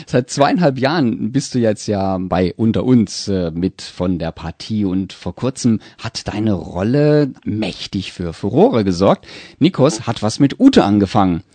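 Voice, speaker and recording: Lively, chatty male radio host. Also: fairly low pitch, unhurried, no echo. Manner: chatty, laughs often. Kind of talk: radio interview.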